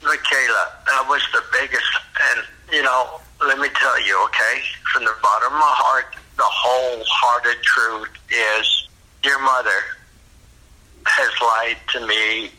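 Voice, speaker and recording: A middle-aged or older man talking intimately and earnestly on a phone call, tender, pleading and halting, sounding choked up. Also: medium pitch, fairly even intonation, bright, forceful tone. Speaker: father talking with his adult daughter. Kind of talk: intimate, emotional phone conversation. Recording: phone call heard through a speaker.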